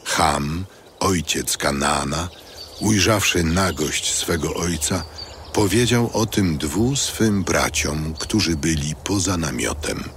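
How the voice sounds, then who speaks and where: A man narrating a dramatised audio Bible in a solemn, measured storytelling manner. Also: sits low, lively intonation, fairly bright tone, slight background noise.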